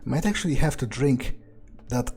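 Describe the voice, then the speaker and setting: A man narrating a video game in character, thinking aloud in an understated, musing way with frequent pauses. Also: medium pitch, animated, darkish tone. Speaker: gamer playing in character.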